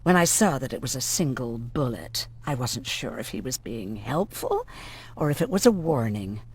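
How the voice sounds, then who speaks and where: A hardened, sardonic woman doctor in a game drama. Her voice is low and very expressive, with blunt, biting lines. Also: moderate pace, husky, full character voice. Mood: wary. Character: hardened, sardonic female doctor and camp survivor. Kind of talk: game voice acting, dramatic dialogue.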